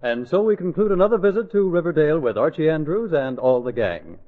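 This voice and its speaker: High-pitched, animated 1940s radio announcer man. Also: brisk, heavy background noise. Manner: polished, formal, projected. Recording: muffled 1940s radio broadcast.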